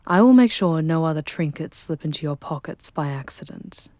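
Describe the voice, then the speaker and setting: A woman playing a charming, protective female demon guide in an intimate ASMR roleplay, with soft breathy delivery and formal, measured diction. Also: deep voice, lively intonation, no room sound. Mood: hushed, teasing. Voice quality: soft, breathy, often whispery.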